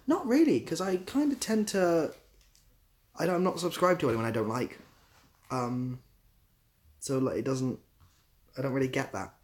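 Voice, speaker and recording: Young man with southwest English accent. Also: mid-range voice. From southwest England, near Bath. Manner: rambling, self-deprecating. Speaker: livestream host and YouTuber. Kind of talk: casual livestream chat.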